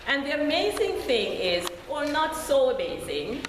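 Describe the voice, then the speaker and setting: Woman, Jamaican accent, middle-aged, deacon's sermon, slow deliberate delivery, booming church echo. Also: very animated. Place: church sanctuary. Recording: echoey church hall with faint noise.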